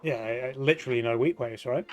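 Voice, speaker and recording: A man's voice: mid-range voice, a little fast, over music. A young man hosting a live esports broadcast, upbeat and laughing often as he runs the show. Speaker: esports caster and host.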